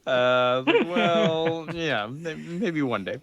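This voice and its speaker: A man's voice: on the high side, very animated, slow, muffled recording, a crowd in the background. A man hosting a podcast, recapping in casual chat and loosely acting out dialogue in an informal way. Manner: informal, acts out characters' dialogue in loose paraphrase. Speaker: podcast host.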